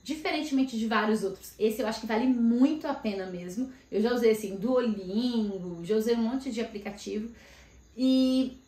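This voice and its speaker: A woman in casual chat, vlogging and telling about her week with lively asides. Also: on the high side, moderate pace. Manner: chatty, animated storytelling with frequent asides. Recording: echoey room.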